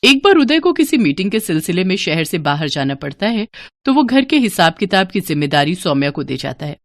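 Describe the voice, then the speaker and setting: A woman's voice: lowish voice, big pitch swings, brisk, dry recording. A woman reading scripted moral-story narration as a storyteller.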